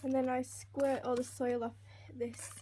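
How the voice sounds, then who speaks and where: A young woman with a slightly croaky voice, chatting casually as she narrates each step of a pet-care routine while filming on a handheld phone at home. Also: sits high, level tone, unhurried, warm tone. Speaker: pet keeper vlogger. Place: indoors at home by snail tanks. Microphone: handheld phone camera. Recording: noisy home phone recording.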